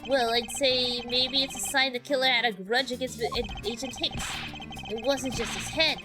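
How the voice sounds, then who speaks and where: A woman's voice: a little high, fairly even intonation, normal speed, lightly acted. A woman voicing an eager, deferential, bumbling male detective from a video game, in an earnest, blurting manner. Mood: earnest, worried. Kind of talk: reading video game dialogue aloud. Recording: music behind.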